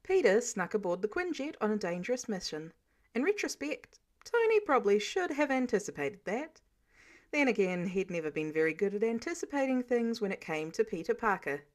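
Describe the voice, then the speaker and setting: A woman reading scripted fiction aloud as an expressive storyteller. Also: medium pitch, fairly quick. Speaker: audiobook reader of fan fiction.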